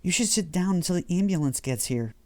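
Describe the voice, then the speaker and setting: A man's voice: high-pitched, lively intonation, a little fast, mellow, dry recording, voice pushed up. A man voicing a frightened, worried wife and mother in a horror audiobook, in a shaky manner. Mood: anxious, shaken.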